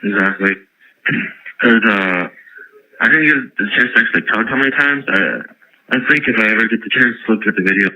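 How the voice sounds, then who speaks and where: A man speaking haltingly and hesitantly as an inmate on a jail phone call, in a muffled recording. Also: on the low side, pushed and bright. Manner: halting, hesitant, filler-heavy. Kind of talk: casual phone interview.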